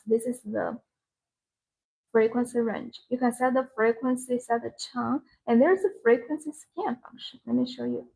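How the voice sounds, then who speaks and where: A woman with a high-pitched, creaky voice gives a live sales demonstration. She speaks English as a second language, in simple phrases that she often repeats. Her tone has a husky edge. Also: a little mellow, low-quality recording. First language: not English. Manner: repetitive, simple non-native phrasing. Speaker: live-stream sales presenter.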